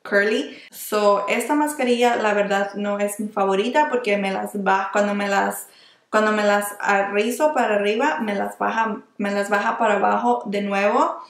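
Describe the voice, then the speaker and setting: A woman's voice: medium pitch, fairly even intonation, moderate pace. A young woman reviewing makeup in a casual, chatty way, often restarting words and slipping into English terms. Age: young adult. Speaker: beauty vlogger. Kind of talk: casual product review while applying makeup. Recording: echoey small room.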